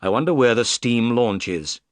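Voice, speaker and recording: A man reading the part of a calm, polite detective in a storybook, speaking firmly and briskly. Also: medium pitch, dry recording, close to an everyday voice. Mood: thoughtful. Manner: firm, clipped, quick delivery.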